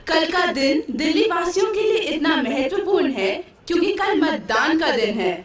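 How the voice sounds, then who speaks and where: A woman playing an earnest, instructive schoolteacher in a puppet show, explaining deliberately. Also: high-pitched, sing-song. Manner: didactic, deliberate. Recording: very echoey hall, distant mic.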